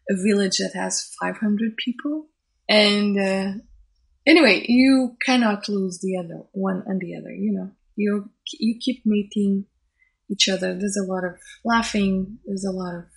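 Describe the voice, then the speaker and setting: A woman whose first language is Portuguese, a social scientist and event organizer, speaking thoughtfully in an interview and often restarting phrases as she searches for words. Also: mid-range voice. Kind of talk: interview conversation.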